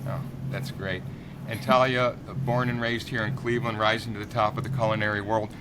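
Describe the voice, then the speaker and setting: A man's voice: lowish voice, level tone, a little fast, background noise. A man hosting an interview, upbeat and reading out his guests' introductions. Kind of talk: conversational interview.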